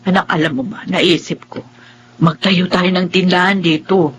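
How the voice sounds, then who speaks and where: A woman's voice: on the low side, fairly even intonation, moderate pace, a little hiss or hum, natural voice. An actress playing a tender, anxious middle-aged mother in a Filipino radio drama, exclamatory and with a Filipino accent. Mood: delighted, hopeful. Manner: exclamatory, fretful, affectionate. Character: anxious, tender, easily tearful mother of modest means.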